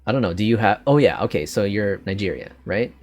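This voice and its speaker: A man's voice: fairly low pitch, lively intonation, quick pace, a little mellow. A man with a Canadian accent hosting a podcast, chatting casually and rambling with frequent restarts. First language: English. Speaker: podcast host. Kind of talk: casual chat.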